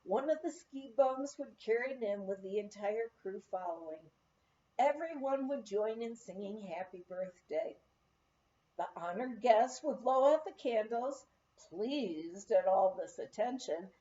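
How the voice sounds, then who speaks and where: Slow, high-voiced woman reading aloud. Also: dark tone, highs cut off. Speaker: audiobook reader of a memoir. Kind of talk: read-aloud narration of an anecdotal memoir.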